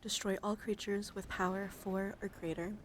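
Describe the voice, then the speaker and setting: A woman streamer speaking softly and playfully in an ASMR style, close to the microphone, her breathy voice often dropping into a whisper. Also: medium pitch, little pitch movement, normal speed. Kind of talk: soft-spoken ASMR card showcase. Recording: clear background noise.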